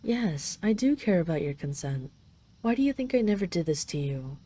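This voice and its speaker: Woman acting a mellow, low-voiced tender dragon queen. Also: big pitch swings, moderate pace. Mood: tender, reassuring. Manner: teasing, intimate, coaxing. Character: possessive yet tender dragon queen doting on her captive. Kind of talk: intimate roleplay audio addressed to the listener.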